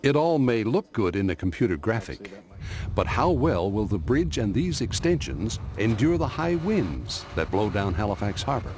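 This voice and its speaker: A man reading scripted documentary narration in a measured, explanatory way, recorded as a studio voice-over. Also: lowish voice, big pitch swings, darkish tone, a little hiss or hum.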